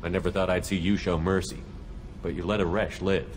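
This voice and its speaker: A man playing a steady military commander in a video game, counselling a crewmate in a measured, direct way. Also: deep voice, lightly acted. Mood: calm, probing. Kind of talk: video game cutscene acting. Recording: long reverb, faint background noise.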